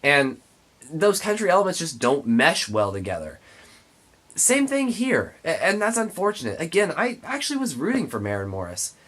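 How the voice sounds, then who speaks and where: A man's voice: on the high side, huge pitch swings, normal speed, a bit bright. A man with a congested, nasal voice giving an emphatic, opinionated album review as the host. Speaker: music reviewer, show host. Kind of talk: spoken album review to camera.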